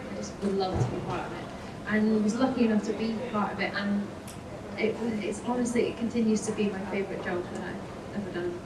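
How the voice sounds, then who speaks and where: A woman, a stage actress, answering interview questions in a fond, reflective way. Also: medium pitch. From Scotland. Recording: echoey, distant, noisy ambience.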